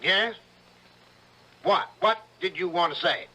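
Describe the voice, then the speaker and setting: An actor playing a relentless, sceptical prosecutor, sarcastic and pressing, in old-time radio drama style. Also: male voice, sits high, slow, bright, forceful tone, full character voice, rough recording. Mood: pressing, scornful.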